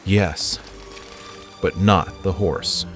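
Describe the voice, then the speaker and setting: A man playing a calm, terse tracker and protector in an audio drama. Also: low voice, drawn-out words, faint music behind. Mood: calm, certain.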